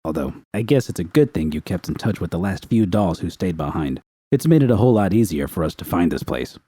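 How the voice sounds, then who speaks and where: A man voicing a bloated, menacing gang boss who speaks slowly and smugly. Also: low-pitched, expressive, mellow, husky, dry recording, studio quality. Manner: slow, smug, threatening.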